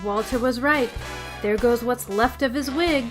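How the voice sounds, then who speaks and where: An actress plays a wisecracking female schoolteacher in an old-time radio comedy. Her voice sits a little high, with dry, sardonic delivery and faint music underneath. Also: a little slow, natural voice. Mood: wry. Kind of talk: 1950s radio comedy acting.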